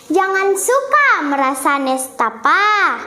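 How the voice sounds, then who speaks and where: Very high-voiced, slow young girl storyteller. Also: big pitch swings, pushed and bright, a little hiss or hum. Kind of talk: dramatic folk tale storytelling. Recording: echoey room.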